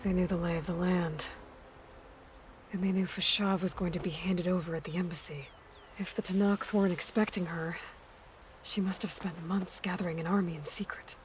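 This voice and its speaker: A woman's voice: lowish voice, steady tone, moderate pace, a little hiss or hum, natural voice. A young woman playing a determined, guarded hunter heroine in a video game. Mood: guarded, focused.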